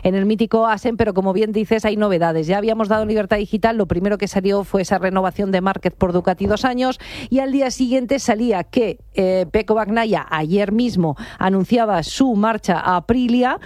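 A woman's voice: mid-range voice, brisk, darkish tone, no room sound. A woman giving unscripted, detailed sports commentary in an explanatory way on a radio show.

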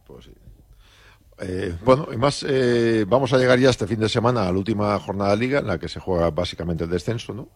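Man from Spain, co-host, fast heated speech, husky voice, dry studio sound. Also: on the low side, no room sound. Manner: heated, indignant. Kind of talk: radio sports talk.

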